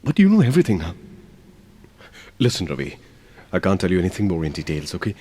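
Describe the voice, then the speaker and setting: A man's voice: sits low, huge pitch swings, warm tone, husky, big-room sound, full character voice. An actor as a hesitant young Indian man. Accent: Indian English. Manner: hesitant, emotional, halting. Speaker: young man in a radio play. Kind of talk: audio drama acting.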